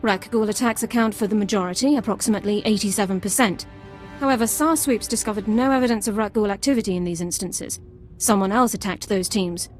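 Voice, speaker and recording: A woman's voice: mid-range voice, fast talker, natural voice. An actress voicing a strict, formal female army sergeant who gives precise, clipped military reports in a game cutscene. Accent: Imperial-sounding. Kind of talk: video game cutscene voice acting. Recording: game audio with music bed.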